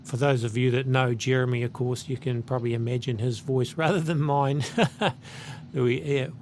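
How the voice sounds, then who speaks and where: A man's voice: mid-range voice, fairly even intonation, normal speed, darkish tone, slight background noise. A man with a New Zealand accent, hosting his channel and earnestly reading a letter aloud.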